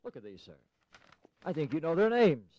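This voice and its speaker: An actor playing an eager colonial politician in a historical audio drama, speaking formally, emphatically and persuasively. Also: male voice, fairly high pitch, sing-song, normal speed, highs cut off. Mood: eager, insistent. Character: eager, insistent colonial congressman pressing for independence.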